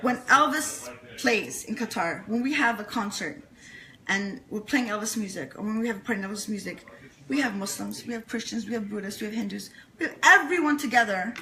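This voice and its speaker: A woman's voice: mid-range voice, lively intonation, brisk, bright, forceful tone, poor audio. A woman talking as an enthusiastic interview guest, earnest and emphatic, repeating phrases to stress her points. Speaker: interview guest, fan club leader. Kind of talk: enthusiastic casual interview chat.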